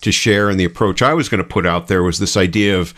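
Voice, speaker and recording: A man's voice: sits low, fast talker, a bit bright. A man co-hosting a podcast, explaining things in a casual, conversational way. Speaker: podcast co-host.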